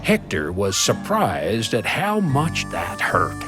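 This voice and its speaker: A man's voice: fairly low pitch, wildly swooping pitch, takes it slowly, exaggerated voice. A man playing a folksy storyteller who narrates an old west tale in a musical audio drama. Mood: wry, folksy. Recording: plucked string music behind the voice.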